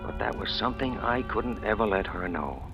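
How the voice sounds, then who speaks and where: A man's voice: on the low side, expressive, fairly quick. An actor playing a hard-boiled, scheming small-time crook who narrates his own rise in clipped, wisecracking slang, in old radio noir style. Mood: brooding narration. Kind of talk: 1940s-50s radio noir acting. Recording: dull old radio recording, music behind.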